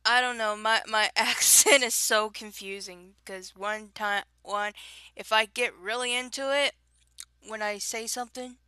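A woman's voice: a little high, fairly even intonation, measured pace, sharp tone, clean tone, no echo. A young woman with a Southern drawl, chatting casually on her own recording, giggling and rambling with frequent restarts. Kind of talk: casual chat recorded alone.

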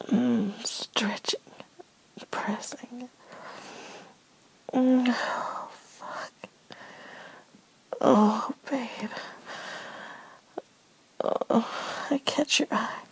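An adult woman giving an intimate, whispered first-person erotic narration in a breathy voice, drawn out and broken by moans. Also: a little high, very slow, long pauses, low-quality recording.

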